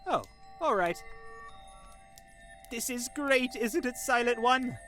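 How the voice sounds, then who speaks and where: A woman playing an excitable, chatty, teasing tavern friend in a fantasy audio drama, with a dramatic, gushing manner. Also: fairly high pitch, slow pace, soft background music. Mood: excited, gushing. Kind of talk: fantasy visual novel audio drama acting.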